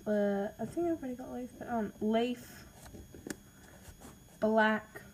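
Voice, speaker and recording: A woman's voice: fairly high pitch, slow, a little mellow, slight background noise, rough recording. A woman with an Australian accent, casually reading out name ideas in a voice message, hesitant and apologetic with lots of ums. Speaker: podcaster listing name ideas.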